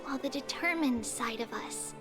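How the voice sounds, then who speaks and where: Woman as a high-voiced solemn girl prophet. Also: moderate pace, natural voice. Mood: gentle, reflective. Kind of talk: scripted game cutscene dialogue. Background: orchestral music.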